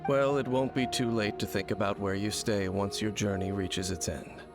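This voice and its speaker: An actor playing a world-weary, brooding wandering warrior in a video game, speaking in a grave, formal, measured and aloof manner. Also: male voice, lowish voice, steady tone, natural voice. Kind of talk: video game character dialogue. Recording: music behind the voice.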